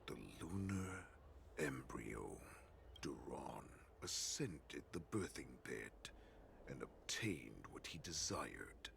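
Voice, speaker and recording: Extremely deep, gravelly beast warlord. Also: male voice, very animated, fairly bright tone, slight background noise, exaggerated voice. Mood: reverent menace. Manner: slow, deliberate, theatrical. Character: ancient captive beast warlord, menacing and grimly mocking. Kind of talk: dramatic video game voice acting.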